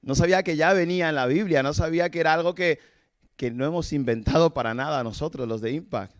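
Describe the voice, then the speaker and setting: A man's voice: a little high, fast talker. A man from Cameroon, preaching a casual sermon at a church service and asking the congregation rhetorical questions. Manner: informal, asks rhetorical questions, chuckles between points. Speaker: evangelist preacher. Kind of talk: conversational sermon.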